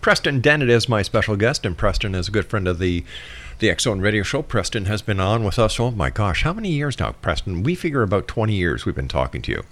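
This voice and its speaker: A man's voice: lowish voice, very animated, quick pace, fairly bright tone. A man hosting a radio talk show and interviewing his guest.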